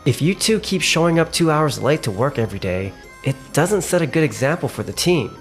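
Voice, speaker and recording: A man's voice: on the high side, very animated, soft background music. Composed male sales manager, voiced by an actor. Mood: firm, polite. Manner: measured, businesslike. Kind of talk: manga dub acting and narration.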